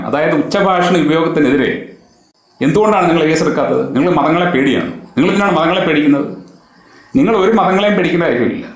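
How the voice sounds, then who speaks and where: Higher-voiced man in a very echoey room. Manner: admonishing, emphatic, with rhetorical questions. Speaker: social commentator. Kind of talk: opinion monologue addressed directly to the public.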